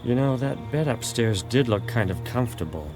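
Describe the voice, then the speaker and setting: An actor playing an exasperated, sceptical visitor in an audio drama. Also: male voice, mid-range voice, expressive, normal speed, close to an everyday voice. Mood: sheepish. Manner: dismissive, impatient. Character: exasperated, sceptical American visitor stuck in a small town. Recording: music under the voice.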